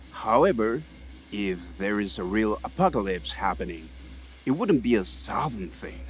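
Casting: earnest shaman-teacher, played by a man, lowish voice, very animated intonation, audio drama. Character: earnest Mexican shaman and history teacher, male.

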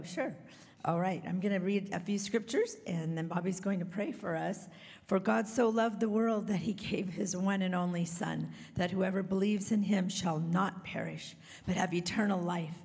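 Hoarse, gravelly woman reads scripture carefully, long hall echo. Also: mid-range voice, a little mellow. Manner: earnest, careful reading. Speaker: scripture reader. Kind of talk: reading scripture aloud. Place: church sanctuary stage. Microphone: handheld microphone, far camera. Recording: reverberant hall.

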